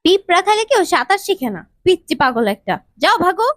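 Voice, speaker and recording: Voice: very high voice, very animated, quick pace, fairly bright tone, no echo, full character voice. A performer voicing an exasperated, brusque mother who nags and scolds in a cartoon. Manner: brusque, nagging. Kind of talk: cartoon voice acting.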